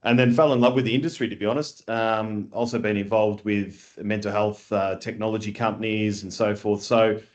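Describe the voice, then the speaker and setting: A lower-voiced man with an Australian accent talks through an interview, animated and a little rambling. He is full of fillers and restarts, and quick to circle back on his point. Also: lo-fi sound. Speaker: guest, industry scheme chief executive. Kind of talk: casual conversational interview.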